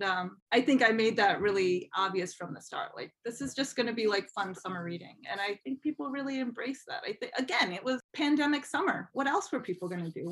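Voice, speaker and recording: A woman's voice: on the high side, fairly bright tone. A woman speaking casually as an interview guest, chatty and self-deprecating in an informal way. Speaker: podcast guest, fan fiction writer.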